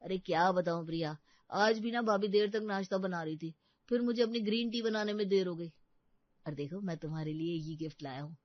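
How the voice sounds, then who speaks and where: A woman's voice: fairly low pitch, fairly quick, dry recording, lo-fi sound, close to an everyday voice. A woman voicing a hapless, defensive young college man in a cartoon family story, earnest and exasperated.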